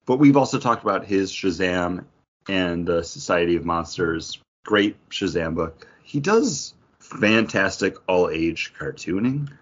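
A man's voice: low-pitched, animated, measured pace, muffled recording. A man hosting a casual geek-news discussion, speaking in an opinionated, conversational way. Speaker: podcast host.

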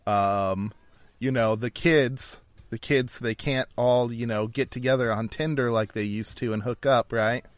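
A man's voice: medium pitch, steady tone, unhurried, no room sound. A man co-hosting a podcast in casual, joking, informal banter. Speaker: podcast co-host.

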